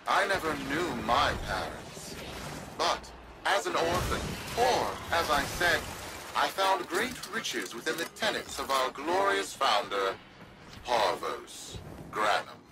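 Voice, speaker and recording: Game villain: grandiose corporate zealot, theatrical and self-important, slow delivery with big swings in pitch. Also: male voice, big pitch swings, background noise, exaggerated voice. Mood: smug, self-mythologizing. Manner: pompous, theatrical, oratorical pauses. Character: pompous, grandiose corporate zealot, smug would-be heir. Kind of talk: video game villain speech.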